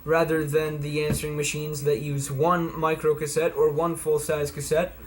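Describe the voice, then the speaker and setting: A man's voice: on the high side, little pitch movement, moderate pace, soft background music. A man giving a casual, folksy and playful product demonstration in a homemade video. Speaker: vlogger presenting vintage electronics.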